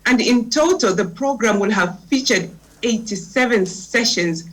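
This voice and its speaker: A woman's voice: a little high, lively intonation, unhurried, a bit bright, husky, low-quality recording. A woman with a Zambian accent co-hosting a forum in an enthusiastic, chatty, exclamatory way. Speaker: co-host of a forum. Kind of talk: enthusiastic presenting.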